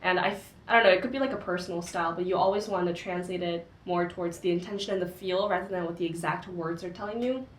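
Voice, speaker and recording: A woman's voice: on the low side, fast talker, few pauses, a little hiss or hum. Chatty young woman in echoey room. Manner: chatty, many fillers. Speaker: co-host of a book discussion channel. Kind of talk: casual book discussion.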